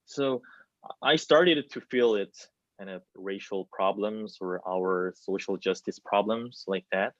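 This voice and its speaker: A man in his twenties from South Korea with a Korean accent, giving halting answers full of repeated phrases on a video call. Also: on the low side, no room sound. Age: 20s. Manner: halting, repeats phrases, non-native English. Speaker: theater student. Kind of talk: hesitant spoken answer in an online panel discussion. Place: small plain indoor room. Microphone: video call, wireless earbuds. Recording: muffled video-call audio.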